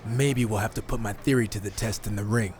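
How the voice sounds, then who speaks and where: A man's voice: on the low side, steady tone, fairly quick, a little mellow, slight background noise, close to an everyday voice. A man playing a cocky young rookie wrestler. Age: young adult. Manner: self-assured, sardonic. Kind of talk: video game cutscene voice acting.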